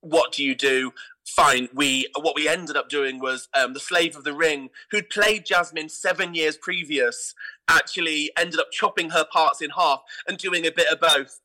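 Male interview guest, piercingly bright and higher-pitched, animated storyteller with a theatrical turn of phrase. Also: moderate pace, poor audio. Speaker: guest, theatre producer and pantomime dame. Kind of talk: conversational interview anecdotes.

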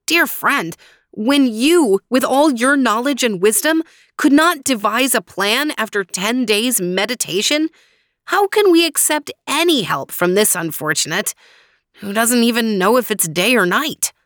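A woman voicing a grieving young man, speaking high and strained with despair. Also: lively intonation, measured pace, fairly bright tone, dry recording, studio quality. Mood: doubtful.

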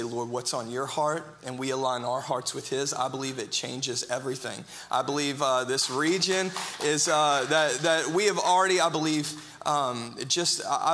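A pastor giving church announcements in an earnest, halting way, with many fillers. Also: male voice, mid-range voice, fairly bright tone.

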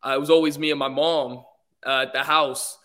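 A man's voice: a little high, steady tone, brisk, bright, forceful tone, soft background music. A young man from England, a guest on a podcast, talking politely and easygoingly with many fillers. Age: young adult. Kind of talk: casual interview.

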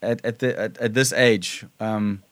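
A man hosting a casual interview, chatty, laughing often and asking halting questions. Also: fairly low pitch, steady tone, dry recording. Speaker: interview host.

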